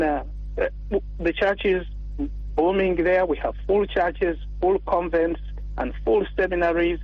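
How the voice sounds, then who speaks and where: A man from Uganda, a priest, speaking earnestly and warmly as a radio interview guest, pausing and restarting often. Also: high-pitched. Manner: earnest, warm and heartfelt, with pauses and restarts. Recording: dull, dry radio studio sound.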